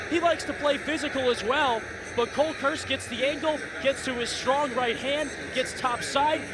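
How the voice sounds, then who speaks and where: An American man giving live lacrosse analysis as color commentator, with colorful, emphatic phrasing. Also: extremely high pitch. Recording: very loud game noise behind commentary.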